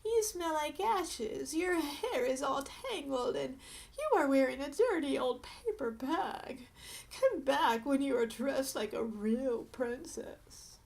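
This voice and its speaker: A woman's voice: sits high, animated, slow, creaky, darkish tone, exaggerated voice. A woman voicing a vain, fussy young prince in a children's story, scolding in a snobbish way. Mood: disdainful.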